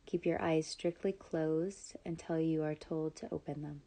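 A woman guides a relaxation practice from a script in a soft-edged, very mellow voice. Her pitch sits a little low and she moves very slowly. The manner is calm, measured and soothing, with room left between instructions. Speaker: yoga nidra guide.

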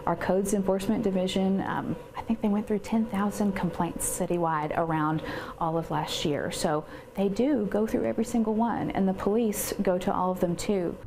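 A woman's voice: mid-range voice, fairly even intonation, normal speed. A woman, talking casually with fillers in an outdoor news interview. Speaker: downtown development coordinator. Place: outdoors by a building. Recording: outdoor interview with noticeable background noise.

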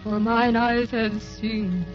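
A woman's voice: on the high side, fairly even intonation, slow pace. An actress playing a tender, weary, ageless grandmother who speaks wistfully and repeats her phrases, in old radio drama style. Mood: dreamy, fading. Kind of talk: 1930s-40s radio drama acting. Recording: dull old radio recording with music.

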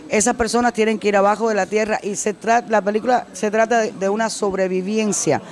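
A middle-aged woman from Cuba, a singer answering interview questions in a casual way, animated and repeating phrases for emphasis, into a handheld mic in a restaurant. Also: medium pitch. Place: decorated restaurant, indoors. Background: faint music.

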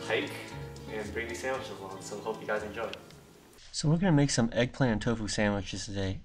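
A young man hosting a casual home cooking tutorial, talking in a laid-back way with lots of fillers. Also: on the low side. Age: young adult. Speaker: cooking show host. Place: cluttered room mid-move, indoors.

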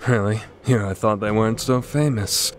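A man's voice: lowish voice, normal speed, lightly acted. A man voicing a stoic, battle-hungry young swordsman in a game fan dub, terse and formal. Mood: cool, intrigued.

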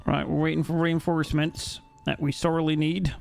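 Young male streamer from Norway, rambling and jokey, a touch high. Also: level tone, moderate pace, vocal fry, darkish tone, faint music behind. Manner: chatty, reads chat aloud, jokey. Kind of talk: live-stream gameplay chat. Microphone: headset mic.

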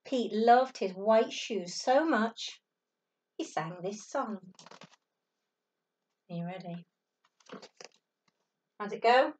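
A woman in her thirties, a teacher, reading a picture book aloud to a child at home, with animated storytelling and dramatic gasps and exclamations. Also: medium pitch, slow, pauses often. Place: small room at home. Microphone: laptop webcam at table distance. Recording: dull lo-fi webcam audio from a distance.

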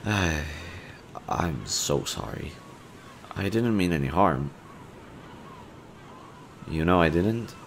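A man playing a kind, bashful young man in an audio roleplay, talking to the listener in a casual, gentle and hesitant way. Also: low-pitched, expressive, drawn-out words, long pauses, a little hiss or hum, heavily acted. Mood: flustered, apologetic.

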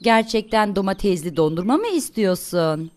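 A woman narrating a children's cartoon in a simple, expressive way, talking to the characters and asking questions. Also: medium pitch, normal speed. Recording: studio voice-over with faint music behind. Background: faint music.

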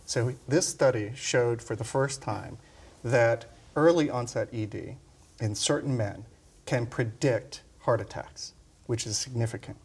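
A man's voice: mid-range voice, a little slow, a little mellow, breathy edge. A man in his forties explaining medical findings as a doctor on a studio panel. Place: TV studio round table. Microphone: lapel mic.

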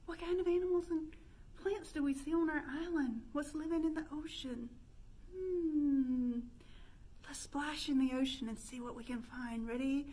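A woman's voice: high-pitched, normal speed, mellow, poor audio. A woman in her thirties leading an interactive pretend-play storytime, asking young children questions in a sing-song manner. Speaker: children's librarian hosting storytime. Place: indoor room with stone fireplace.

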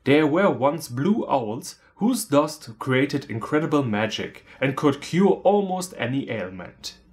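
A man's voice: mid-range voice, sing-song, slow. A man in his thirties with a German accent, giving a scripted comedic film review in a sarcastic, theatrical manner. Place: small room indoors, on a couch.

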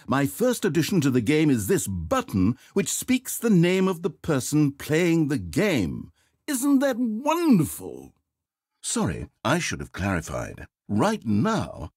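An actor playing a fussy, needy male game narrator, theatrical and quick to turn from coaxing to exasperated. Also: fairly high pitch, wildly swooping pitch, darkish tone, slightly rough, no echo, clean studio sound, full character voice. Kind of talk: video game voice acting.

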